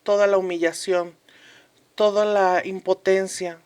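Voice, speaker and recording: A woman's voice: medium pitch, flat delivery, measured pace, a little mellow, clear, resonant tone, lightly acted. Solemn, emphatic woman acting as a historical commentator. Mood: earnest, reflective. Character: earnest woman commentator from a fictional post-revolution republic. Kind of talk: scripted radio-drama interview commentary.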